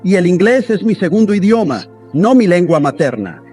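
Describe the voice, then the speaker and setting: High-voiced man preaching a sermon. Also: sing-song, moderate pace, a little mellow, husky, soft background music. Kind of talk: dubbed sermon voice-over.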